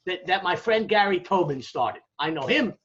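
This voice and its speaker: A man's voice: high-pitched, expressive, normal speed, fairly bright tone. An American man explaining things in a conversational interview, emphatic and didactic, often checking in and posing rhetorical questions. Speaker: academic interviewee, demographer. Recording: dull, lo-fi audio.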